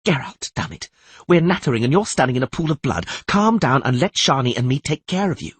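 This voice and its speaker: A male narrator acts a commanding fantasy sorceress in a raised, husky voice. She is urgent and insistent, justifying herself with righteous defiance in a dry recording. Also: medium pitch, sing-song, a little fast, no room sound, pitched above the performer's own voice. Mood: defiant urgency.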